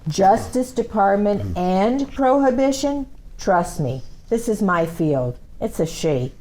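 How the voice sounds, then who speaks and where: Actress as city official, female, skeptical, brisk, animated pitch, dry manner, table read. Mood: impatient, skeptical. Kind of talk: table reading of a TV script.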